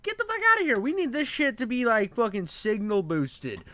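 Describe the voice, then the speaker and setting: A man's voice: very high voice, huge pitch swings, fairly quick, bright, forceful tone, no room sound. A man giving casual, profane reaction commentary in a crude, slangy, rambling way. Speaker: reaction video host.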